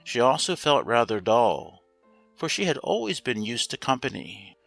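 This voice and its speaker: Bright, gravelly male storyteller. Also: mid-range voice, very animated, moderate pace. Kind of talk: scripted fairy-tale narration. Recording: roomy echo, faint music behind.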